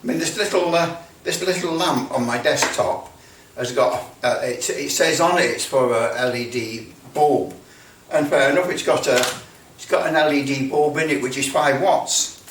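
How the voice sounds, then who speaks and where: A man's voice: a little high, normal speed. A man presenting a hands-on repair demonstration in casual talk, thinking aloud hesitantly and often repeating himself as he goes. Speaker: presenter of an electronics repair video. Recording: roomy, distant mic, faint background noise.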